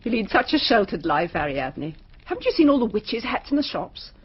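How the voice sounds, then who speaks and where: Breezy English mother, husky, hugely varied intonation, radio play. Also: on the high side, sing-song, normal speed. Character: firm, breezy mother and persuasive friend. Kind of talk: British radio drama acting. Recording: dull radio drama recording.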